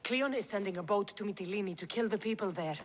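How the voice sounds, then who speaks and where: An actress playing a bold, wry mercenary heroine in a video game, speaking bluntly and with assurance. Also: female voice, medium pitch, quick pace, a little hiss or hum, lightly acted. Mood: challenging. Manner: assertive, wry. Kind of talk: video game cutscene acting.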